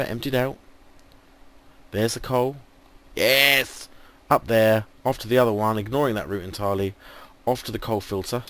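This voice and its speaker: Chatty English man losing his voice. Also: lowish voice. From England. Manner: chatty, thinking aloud, lots of ums. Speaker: gaming video host. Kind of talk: casual let's play commentary.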